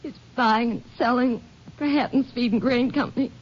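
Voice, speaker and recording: A fragile, bereaved young wife from vintage radio melodrama, played by an actress. Her high voice is breathy and tremulous, with halting, emotional phrasing. The old recording sounds muffled. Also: steady tone, lightly acted. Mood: subdued. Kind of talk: 1940s-50s melodramatic radio acting.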